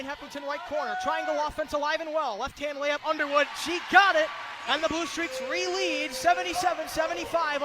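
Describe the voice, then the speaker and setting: A man doing excited, projected radio play-by-play of basketball in short, clipped, rapid-fire phrases. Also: extremely high pitch. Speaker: play-by-play commentator. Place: indoor basketball arena. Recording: loud crowd and gym noise. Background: crowd noise and bouncing basketball.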